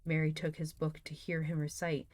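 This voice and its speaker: A woman reading aloud and chatting on a livestream, expressive and enthusiastic in manner. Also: sits low, moderate pace, no room sound. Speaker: streamer reading books aloud; teacher. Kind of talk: expressive audiobook-style reading with casual livestream chat.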